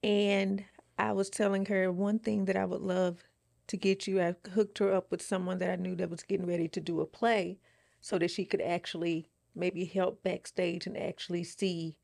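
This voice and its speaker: A woman's voice: on the low side, flat delivery, normal speed, vocal fry, darkish tone, no echo. A woman co-hosting a podcast, chatting casually and conversationally. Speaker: podcast co-host.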